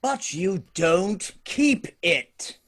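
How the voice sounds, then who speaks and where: Man as earnest young nephew, upbeat, husky, slow, very lively pitch, radio play. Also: exaggerated voice. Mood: cheerful. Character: cheerful young male nephew, warm-hearted and pleading.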